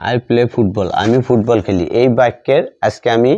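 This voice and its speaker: Male grammar tutor, mellow and unhurried, repeats himself deliberately; muffled low-grade recording. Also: no room sound. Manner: deliberate, repeats phrases as he writes. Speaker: English grammar teacher. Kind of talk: slow lesson narrated while writing out examples.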